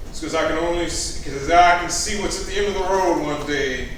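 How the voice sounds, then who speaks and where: Brief: man as a fatalistic, coaxing preacher, high and bright, echoey stage, from a distance. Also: brisk, a little hiss or hum, natural voice. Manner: soft, coaxing, persuasive. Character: calm, persuasive male preacher with a fatalistic air. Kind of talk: staged musical reading. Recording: echoey stage from far off, music.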